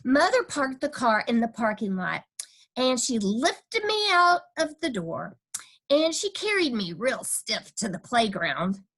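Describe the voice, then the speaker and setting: A woman's voice: fairly high pitch, sing-song, a little slow, fairly bright tone, full character voice. A woman voicing a cheeky, stubborn kindergarten girl who tells her own story with childish, emphatic, dramatic delivery. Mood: matter-of-fact. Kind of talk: first-person children's storybook reading.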